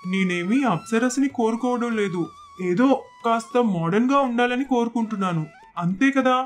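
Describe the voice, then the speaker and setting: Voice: mid-range voice, normal speed, lightly acted. A performer voicing a stubborn, modern-minded young man protesting to his parents in a cartoon moral story. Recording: constant background music.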